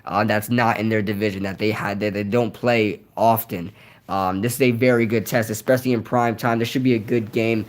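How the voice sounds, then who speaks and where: A young man whose voice is nearly shot from yelling, rambling casually through a live Q&A with lots of fillers and repeated words. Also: on the low side, fairly even intonation, fairly quick. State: hoarse, voice nearly gone from yelling. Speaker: streamer hosting a live Q&A. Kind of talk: casual live-stream chat reading comments.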